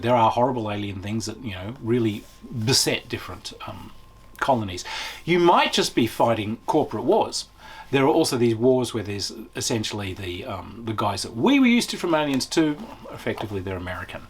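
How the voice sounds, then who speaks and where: A man giving a casual, unscripted game review as a video host, talking in an enthusiastic, emphatic, conversational way with a slightly husky voice. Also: mid-range voice.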